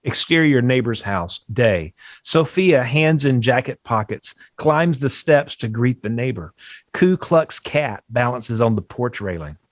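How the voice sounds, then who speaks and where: A man's voice: medium pitch, animated, unhurried, no echo. A man reading stage directions as scripted narration at a table read.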